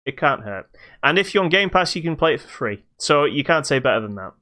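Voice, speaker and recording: A man's voice: on the high side, sing-song, a little fast, bright, forceful tone. A British man hosting a podcast, casually reviewing a video game in a hesitant, stop-start way.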